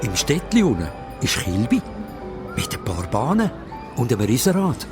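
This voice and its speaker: Male narrator, Swiss German, kids' audio drama. Mid pitch, very lively delivery, music underneath. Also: moderate pace. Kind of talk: animated scripted story narration.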